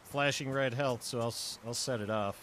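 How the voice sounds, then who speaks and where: A young man livestreaming a game, chatting casually and swearing freely, his voice going hoarse after hours of talking. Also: mid-range voice, normal speed, slight background noise. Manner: swears freely, laid-back, bursts into excitement. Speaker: streamer. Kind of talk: casual gaming livestream chat.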